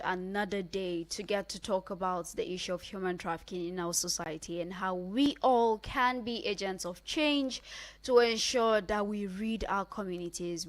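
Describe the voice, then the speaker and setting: A woman with a Nigerian accent presenting a radio talk show as its host. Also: medium pitch. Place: radio studio.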